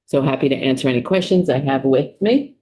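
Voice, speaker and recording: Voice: medium pitch, a little fast, warm tone. An official with a New York City accent testifying before a legislative committee, speaking conversationally with frequent ums and restarts. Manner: conversational, many ums, restarts mid-sentence. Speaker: state official testifying as a witness.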